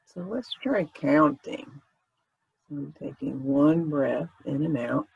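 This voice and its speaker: A man guides a meditation in a fairly high voice full of heavy creak. He speaks very slowly and informally, with gentle, conversational instructions. The sound is a little dull. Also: dry recording. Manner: gentle, informal, unhurried phrasing.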